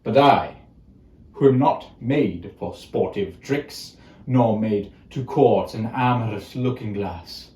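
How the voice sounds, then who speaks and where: A man's voice: on the low side, dark tone. A man performing a bitter, scheming, deformed royal villain in a declamatory Shakespearean monologue. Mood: bitter self-loathing. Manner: declamatory, slow with dramatic pauses and swings. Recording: roomy, echoing space.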